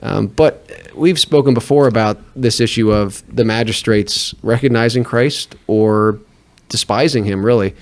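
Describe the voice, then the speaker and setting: A man hosting a podcast, introducing guests in a relaxed, chatty way. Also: lowish voice, a little slow. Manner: relaxed, uses fillers and restarts. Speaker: podcast host. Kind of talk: casual talk show hosting.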